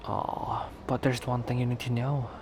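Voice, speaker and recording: A man playing a cocky, protective young werewolf stranger in an intimate ASMR roleplay, talking in a teasing, halting conversational way. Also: mid-range voice, flat delivery, a little mellow, slight background noise, lightly acted. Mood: sly, teasing. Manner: teasing, breathy sighs and chuckles, halting conversational delivery. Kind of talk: ASMR roleplay acting, intimate one-sided dialogue.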